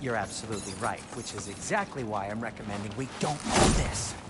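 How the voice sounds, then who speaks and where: A man's voice: lowish voice, brisk, very noisy, lightly acted. A man voicing an anxious, cautious dwarf companion in a fantasy video game, with a fussy, dry, worried way of talking. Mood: dry, wary. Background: game music and effects.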